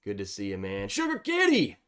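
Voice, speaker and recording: A man's voice: on the low side, huge pitch swings, brisk, fairly bright tone, clear, resonant tone. A man hosting a casual livestream, reading viewer chats aloud in a chatty, upbeat way, sounding congested from a cold. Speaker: livestream host.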